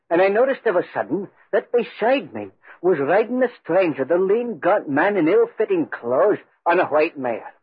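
A man's voice: a little high, husky. A man playing an aggrieved, stubborn country piper who tells his ghostly tale in 1940s radio style. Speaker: actor and narrator in radio drama. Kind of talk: 1940s radio acting, first-person storytelling. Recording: roomy, muffled 1940s radio recording.

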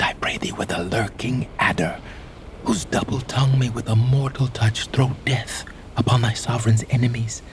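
A man's voice: fairly low pitch, a little hiss or hum, close to an everyday voice. An actor playing a proud, doomed young English king, speaking in grand, declamatory Shakespearean verse that swings from whispers to ringing cries. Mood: hushed. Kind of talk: Shakespearean stage acting.

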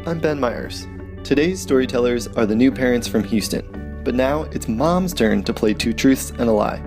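Brief: male podcast host, gravelly and upbeat, guitar music running underneath. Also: medium pitch, moderate pace. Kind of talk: hospital podcast hosting and interviewing.

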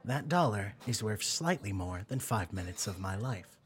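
A man voicing an articulate adjunct professor with a dry, measured manner, in an audio drama. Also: lowish voice, animated, close to an everyday voice. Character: wry, genteel adjunct professor.

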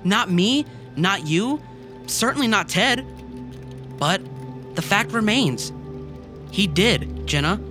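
A woman's voice: medium pitch, lively intonation, slow pace, pauses often. A woman playing a blunt, weary, persuasive office colleague in an audio drama. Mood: blunt, somber. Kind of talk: audio fiction acting. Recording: music under the voice.

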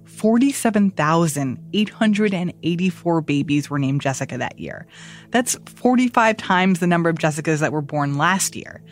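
A woman's voice: on the low side, lively intonation, moderate pace, faint music behind. A woman in her thirties hosting a news podcast, reading a script with chatty personal asides. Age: 30s. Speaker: podcast host.

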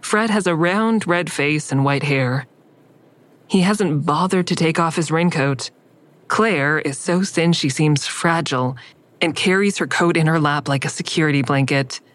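An actress playing an observant, wry counselor, narrating in the first person in a measured, introspective way. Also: female voice, deep voice. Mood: reflective, observant. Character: observant, wry female counselor narrating her own story.

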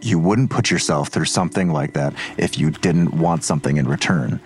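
A man's voice: extremely low pitch, moderate pace, faint music behind. An actor playing a skeptical police detective in an audio drama, terse and probing in his questions. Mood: challenging, doubtful. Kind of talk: naturalistic audio drama dialogue.

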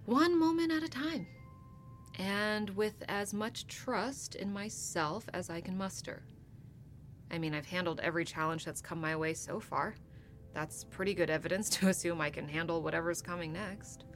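A woman's voice: on the low side, normal speed, faint music behind, lightly acted. A woman playing a self-assured, teasing starship captain in a naturalistic sci-fi audio drama, conversational and wry. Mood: reflective. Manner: wry, conversational, playfully probing.

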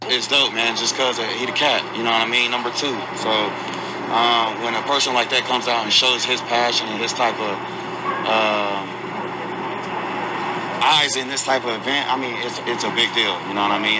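Slangy ballplayer man, loud street noise. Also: mid-range voice. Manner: loose, slangy, repeats "you know what I mean". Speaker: baseball player. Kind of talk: casual interview answers.

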